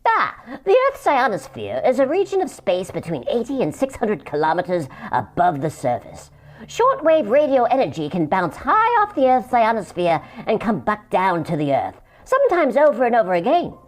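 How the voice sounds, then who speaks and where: A man's voice: high voice, wildly swooping pitch, moderate pace, voice pushed up. A man voicing a polite, scholarly cartoon panda who is prim, pedantic and easily offended.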